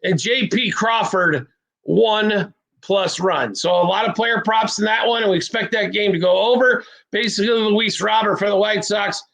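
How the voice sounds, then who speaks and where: A man's voice: sits high, moderate pace, creaky, fairly bright tone. A man from the American Midwest hosting a sports betting show, rambling bluntly through picks with self-deprecating asides. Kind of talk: casual sports betting talk.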